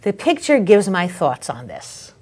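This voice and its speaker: A woman's voice: on the low side, wildly swooping pitch, a little slow, darkish tone, slightly rough. A woman who is an author and handwriting expert, guest on an interview show, explaining in a precise, articulate way. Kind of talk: interview conversation.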